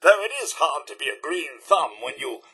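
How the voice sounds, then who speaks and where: An actor playing a prim, loyal robot butler in a video game, with a British accent and a formal, theatrical, fussily polite manner. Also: male voice, on the high side, animated, moderate pace, pushed and bright, slightly rough, exaggerated voice. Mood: cheerful, deferential. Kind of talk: scripted video game companion dialogue.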